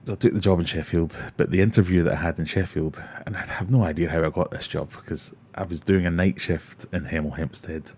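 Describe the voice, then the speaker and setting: A man's voice: low-pitched, brisk, hardly any pauses, darkish tone, breathy edge. A man in his forties with a Glaswegian Scottish accent, hosting a casual livestream, chatting with viewers and telling self-mocking stories. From Scotland. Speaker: livestream host. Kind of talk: casual livestream chat reading comments.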